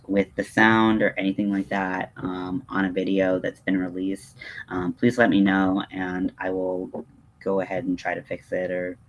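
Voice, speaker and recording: A man's voice: low voice, completely flat delivery, normal speed, dull audio. A man hosting a casual livestream, rambling in a hesitant, digressive, self-deprecating way. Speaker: livestream host.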